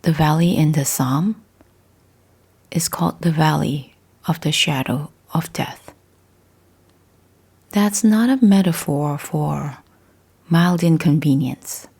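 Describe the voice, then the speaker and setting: A woman with a low, dark voice leads a guided spiritual meditation. She speaks very slowly and deliberately, in short phrases with pauses between them. The sound is soft, breathy and husky. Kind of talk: scripted guided meditation, gentle spiritual talk.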